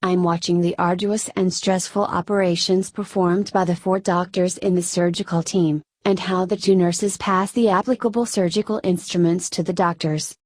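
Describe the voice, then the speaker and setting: A woman narrates a scripted story in the first person. Her voice sits a little on the low side for a woman. The sound is dry, with very little room in it. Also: fairly even intonation, normal speed, few pauses, a little mellow, dry recording.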